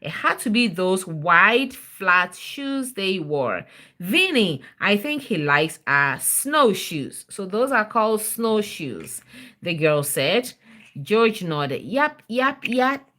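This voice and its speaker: A woman's voice: medium pitch, very animated, slow, sharp tone. A mother reading a children's storybook aloud on a livestream, chatting with viewers and encouraging her child with prompts and questions.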